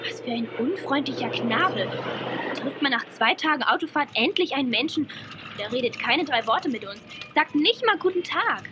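High-voiced, spirited young woman. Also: animated, moderate pace. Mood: indignant. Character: spirited, outspoken young female animal lover. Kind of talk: children's audio drama acting. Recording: muffled, noisy old cassette audio.

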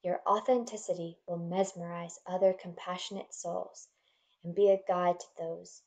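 A woman leading a guided meditation in a gentle, soothing, measured manner, leaving pauses between instructions. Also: lowish voice, steady tone.

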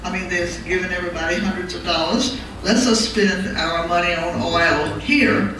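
A woman's voice: on the low side, normal speed. An older woman from Southern California asking a question from the floor, chatty, laughing and repeating her questions. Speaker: audience questioner. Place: large hall with audience. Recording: echoey hall from far off, crowd noise.

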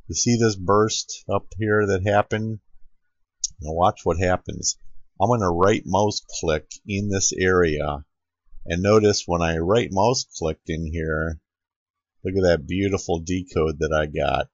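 A man giving an unscripted screen-recording tutorial as an amateur radio operator, explaining step by step in a halting way. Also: low voice, dry recording. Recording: dull, lo-fi home computer recording.

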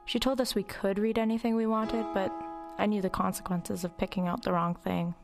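A woman's voice: medium pitch, level tone, fairly quick, gravelly, over music, close to an everyday voice. A woman playing a wry, reflective narrator recalling her troubled girlhood in a confiding first-person dramatic narration.

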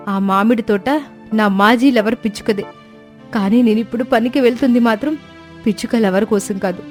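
A woman plays a cartoon sparrow wife with a fairly high voice. She is outspoken and long-suffering, pouring out her grievances in plaintive, emotional speeches. Music plays underneath her. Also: a little mellow, natural voice. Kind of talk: dramatized moral story cartoon dialogue. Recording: music bed behind voice.

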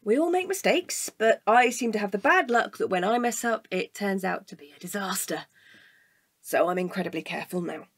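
A woman acting a weary, cautious night-watch guard in a naturalistic audio drama, with a husky, measured, deadpan delivery. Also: mid-range voice, no room sound. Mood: resigned.

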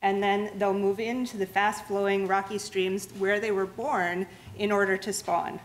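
A woman delivers a museum talk to an audience, her voice at an ordinary middle pitch. She explains step by step, often stopping to restart a word. A faint room noise runs under her voice. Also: measured pace, poor audio. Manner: steady explanatory pace with frequent restarts and fillers. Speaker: museum lecturer. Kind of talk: public science lecture with slides. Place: lecture room.

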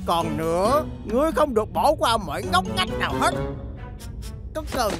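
A woman's voice: on the high side, sing-song, normal speed, over music. A woman voicing a smug, bossy young villainess in a children's cartoon, taunting and mock-sweet.

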